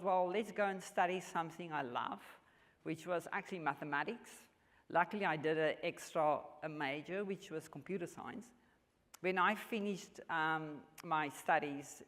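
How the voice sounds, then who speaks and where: A woman in her fifties from South Africa, with a South African accent and Afrikaans as her first language, answering interview questions in an earnest, measured way with frequent restarts. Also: on the low side. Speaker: mining executive guest. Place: large function hall. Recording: long hall reverb.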